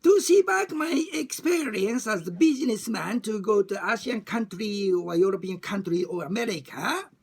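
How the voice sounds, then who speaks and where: Halting Japanese-accented woman, husky and gravelly. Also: mid-range voice, animated, a little mellow. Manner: halting, emphatic, repeats phrases in non-native English. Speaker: discussion group chair and facilitator. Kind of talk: informal monologue about personal experience.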